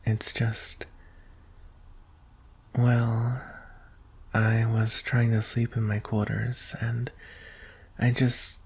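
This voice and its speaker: A performer voicing a shy, anxious young alien prince in an ASMR roleplay, speaking softly and hesitantly in a breathy, husky half-whisper. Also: mid-range voice, flat delivery, full character voice. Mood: timid, lonely. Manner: hesitant, halting, tender. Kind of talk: ASMR roleplay, soft intimate delivery.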